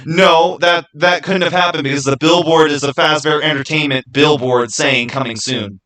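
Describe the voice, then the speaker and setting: A man's voice: fairly high pitch, steady tone, moderate pace, fairly bright tone, rough recording. A man hosting a live stream, talking casually with viewers in an emphatic, insistent way and often repeating his phrases. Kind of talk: casual live chat with viewers, arguing game theories.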